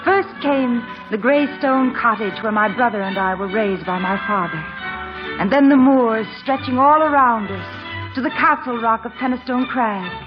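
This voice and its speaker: A woman's voice: fairly high pitch, normal speed, lightly acted. An actress as a wistful woman looking back on a childhood on the moors, telling it dreamily and dramatically in a vintage radio play. The old recording is muffled, with music playing prominently behind her. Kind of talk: 1940s-50s radio drama narration.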